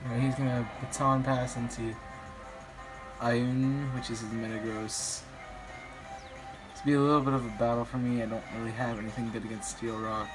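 A slightly raspy young man, a bit sick, commentating his online game battle in a rambling, hesitant way with many ums. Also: mid-range voice, flat delivery, normal speed, low-quality recording. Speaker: gaming YouTuber. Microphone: phone filming a screen. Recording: music playing behind.

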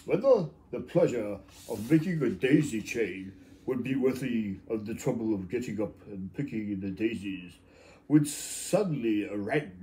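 A man in his fifties reading a children's story aloud informally, halting and stumbling, with muttered asides. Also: on the low side, warm tone, rough recording. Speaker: amateur storybook reader. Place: small room at home, indoors.

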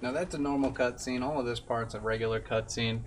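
A man's voice: mid-range voice, steady tone, a little fast, a little hiss or hum. A man in his twenties giving casual commentary as he streams a video game. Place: indoor room at home. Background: game music.

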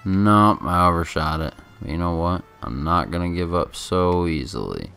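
A man giving casual, laid-back video game commentary in a halting, chatty way, close to the microphone. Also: low-pitched, expressive, vocal fry, fairly bright tone. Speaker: gameplay commentator. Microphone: microphone held very close. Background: game music.

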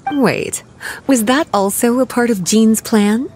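A woman's voice: a little high, slow, darkish tone, faint music behind. A woman voicing a poised, teasing witch mentor in a video game, with a languid, coy manner. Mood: musing. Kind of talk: video game dialogue acting.

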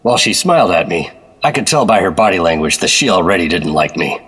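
An actor playing a guarded, formal government secret agent and narrator in a 1950s-style radio drama. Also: male voice, low voice, very animated, fast, fairly bright tone. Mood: suspicious narrating.

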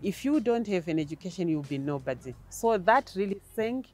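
A woman's voice: lowish voice, animated, brisk, a little mellow. A middle-aged woman with a Zimbabwean accent, an interview guest telling her personal story outdoors on a lapel mic. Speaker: interview guest, country representative. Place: garden, outdoors.

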